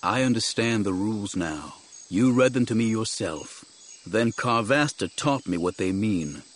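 A man voicing a grim, stern warrior-monk master in an audiobook, low and tersely commanding. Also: lively intonation, unhurried. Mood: cold, resolute.

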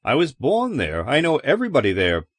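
A man's voice: medium pitch, wildly swooping pitch, brisk, husky, no echo, voice pushed up. A man voicing a friendly, blunt young man who is curious about a stranger.

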